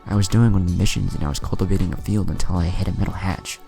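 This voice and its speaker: A man voicing a brash, cheeky young male ninja who gets loud and blunt when excited, in a storybook reading. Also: low voice, racing, creaky, faint music behind.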